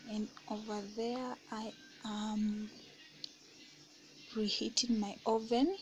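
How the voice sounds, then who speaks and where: Slow soft-spoken woman baking vlogger. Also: on the high side, little pitch movement, faint music behind, rough recording. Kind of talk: casual kitchen voice-over. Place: home kitchen.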